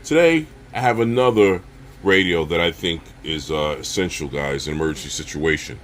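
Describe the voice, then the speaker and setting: A man hosting a casual, unscripted gear review, talking straight to viewers and often checking in with a quick okay or all right. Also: sits low, a little hiss or hum. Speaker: survival channel host reviewing gear.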